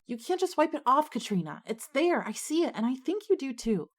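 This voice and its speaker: A woman's voice: high voice, sing-song, brisk. A woman playing an anxious schoolgirl who narrates her own ghost story in an audio drama. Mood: uneasy insistence. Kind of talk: scripted audio drama, first-person narration and dialogue.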